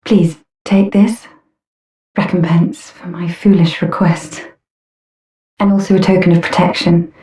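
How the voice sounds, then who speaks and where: A woman plays an earnest lady knight from a fantasy game, gentle and formal in speech. Her voice is mellow and rather low, and she takes her time over every phrase. She is polite and steadfast. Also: level tone, unhurried, long pauses, lightly acted. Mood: solemn. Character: courteous, earnest female knight on a grim quest. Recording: roomy echo, slightly distant.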